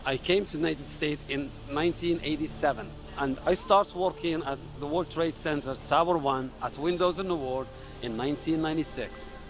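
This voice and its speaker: A man's voice: on the high side, rough recording. A man from Morocco, a community leader, speaking earnestly in an interview with frequent restarts. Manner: earnest, halting with repeated restarts. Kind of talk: recorded interview.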